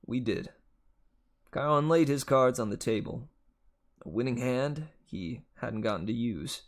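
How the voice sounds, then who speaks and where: A man narrates a storybook aloud. His voice has a noticeable creak, and he takes his time over the sentences at an unhurried pace. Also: medium pitch, expressive. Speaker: audiobook reader.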